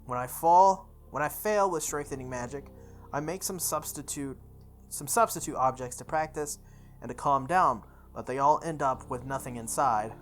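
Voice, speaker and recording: Reader narrating a visual novel over music. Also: female voice, a bass voice, moderate pace. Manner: stumbles and re-reads lines. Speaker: game playthrough host. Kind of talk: reading visual novel text aloud. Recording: music behind the voice.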